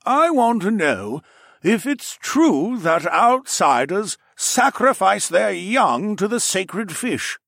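A man doing a slow, humble old lizard-man woodcutter. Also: sits high, sing-song, vocal fry, a bit bright, no echo, studio quality, pitched above the performer's own voice, heavily acted. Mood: earnest.

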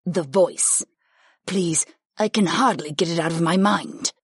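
Breathy, pleading monster goddess voiced by a narrator. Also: female voice, lowish voice, unhurried, dry recording, studio quality, pitched above the performer's own voice, heavily acted. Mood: sharp, distressed. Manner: pleading, urgent. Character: female monster goddess, skeletal or horned, pleading, tormented, devoted. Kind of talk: audiobook character voice.

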